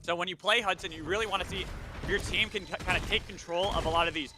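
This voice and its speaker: A man giving live esports commentary as an excitable play-by-play caster. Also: sits high, fast talker, music in the background. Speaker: esports shoutcaster. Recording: steady clear background game noise. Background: video game sounds.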